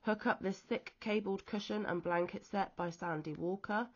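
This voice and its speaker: A woman from the UK who is ill and wheezy with a chesty cough, chatting casually off camera about a crochet magazine with frequent pauses and ums. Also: mid-range voice, breathy edge, dull audio. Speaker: crochet vlogger. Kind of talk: casual show-and-tell chat. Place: at home, off camera.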